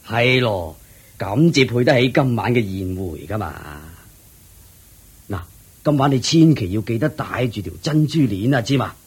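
A gentle elderly lord from a radio drama, with a Hong Kong Cantonese accent. His voice is low, heavily husky and unhurried. It rises and falls with lively, fatherly warmth. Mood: approving. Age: older. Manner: slow, measured, approving. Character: elderly kindly male lord, generous father-in-law.

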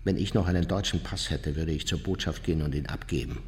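A man's voice: a bass voice, quick pace, darkish tone. An actor playing an older, unrepentant Nazi war criminal, smug and matter-of-fact as he recounts his memoirs in a radio drama. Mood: bitter contempt. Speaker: narrator of his own memoirs. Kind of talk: radio drama monologue, reminiscing.

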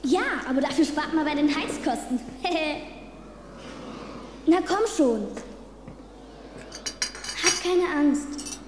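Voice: high-pitched, slow pace, long pauses. A performer voicing a friendly, playful ghost child in a chirpy, polite and reassuring way for an audio drama. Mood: cheerful, playful. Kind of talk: German film audio drama dialogue. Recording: reverberant, noisy sound-effect mix.

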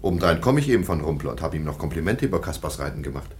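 Deep-voiced, concerned baron. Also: male voice, very animated, rapid-fire, a little mellow, a little hiss or hum. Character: well-mannered, concerned aristocratic baron. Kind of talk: German radio play acting.

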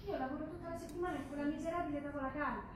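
A woman's voice: sits high, steady tone, racing, natural voice. An actress playing a passionate working-class girlfriend, insistent and coaxing in an emotional, theatrical stage delivery. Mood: pleading, frustrated. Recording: echoey distant stage recording, clear background noise.

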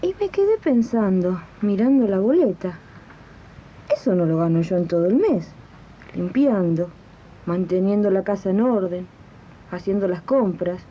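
A woman's voice: mid-range voice, lively intonation, unhurried, dull audio, slight background noise, lightly acted. A woman acting an indignant, determined housemaid in a radio drama, with an Argentine accent and a colloquial, questioning way of talking, on a late-1980s recording. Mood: brooding, doubtful. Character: indignant, determined housemaid who makes jam on the side. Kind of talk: radio drama dialogue and narration.

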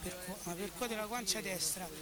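A man's voice: high voice, moderate pace. A young man with a Roman accent reading aloud, repeating and stumbling, in a street interview. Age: young adult. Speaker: member of the public reading aloud. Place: outdoors on a Rome street near schools. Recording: loud street crowd and traffic.

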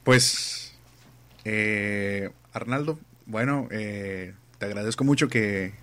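A man interviewing a guest in a recorded online chat, relaxed and hesitant. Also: fairly low pitch, slow pace. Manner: relaxed, hesitant, many fillers.

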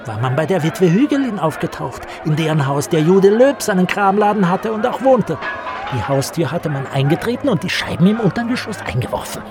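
A man's voice: high voice, very animated, brisk, crowd noise. An actor playing a precise, deferential travelling writer in a German radio play, recounting his misfortunes with meticulous, hesitant politeness. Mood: narrating.

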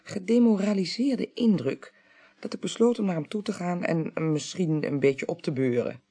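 A woman playing a composed, probing investigator who narrates a radio crime drama in a measured, polite, quietly insistent way. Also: lowish voice, slightly rough. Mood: narrating, observant. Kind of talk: 1980s Dutch radio play acting.

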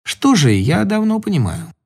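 A man voicing a hurt husband on the edge of tears, his high voice rising and wavering as he lists old grievances. He sounds resentful and self-pitying, words tumbling out in a rising outburst. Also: huge pitch swings, moderate pace, vocal fry, breathy edge, heavily acted. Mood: aggrieved.

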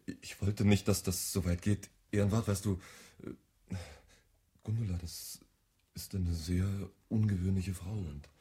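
Sheepish cheating colleague, very low, mellow, hesitant, appeasing, radio drama. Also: male voice, fairly even intonation, a little slow. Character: evasive adulterous colleague, sheepishly placating. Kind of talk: German radio play acting.

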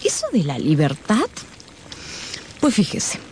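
An actress playing a worldly, deferential housemaid confidante in a radio novel, plain-spoken and knowing. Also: female voice, sits low, huge pitch swings, takes it slowly, darkish tone, slight background noise, exaggerated voice. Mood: knowing, frank.

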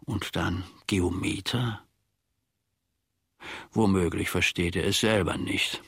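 Knarzig-rauer, pedantischer Diener. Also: male voice, low voice, fairly even intonation, slow, creaky, fairly bright tone, slightly rough, no room sound, exaggerated voice. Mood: puzzled, musing. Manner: ponderous, mock-learned, rambling musing. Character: pedantic, simple-minded male servant of a philosopher. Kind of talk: German radio play acting.

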